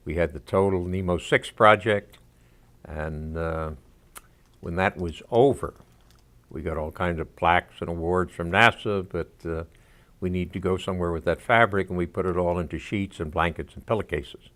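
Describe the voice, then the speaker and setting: A man in his eighties telling a story in a relaxed documentary interview. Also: low voice, normal speed. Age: 80s. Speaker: veteran telling the group's story.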